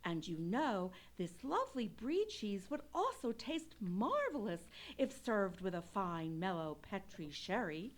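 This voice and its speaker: A woman's voice: medium pitch, very animated, unhurried, hardly any pauses. A woman playing a genteel, sociable sponsor's announcer in an old-time radio show, speaking persuasively. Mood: coaxing. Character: genteel lady pitching sherry, sociable and coaxing. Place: live performance in a theatre.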